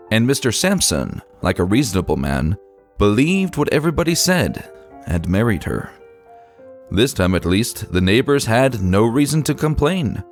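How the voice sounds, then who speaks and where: A man reading a classic short story as a narrator, in a measured, old-fashioned literary delivery. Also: mid-range voice. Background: background music.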